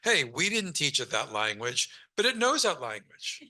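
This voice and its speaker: A man's voice: mid-range voice, big pitch swings, moderate pace, sharp tone. A man speaking on a panel in a loose, casual way, with many fillers. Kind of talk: panel discussion.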